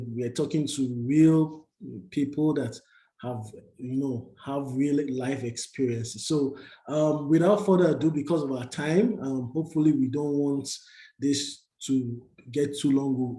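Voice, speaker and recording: A man hosts an online session, pausing often and leaning on fillers. His voice is mellow, and the room around him is echoey. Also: mid-range voice, steady tone. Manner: hesitant, many fillers. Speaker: webinar host. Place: Calgary.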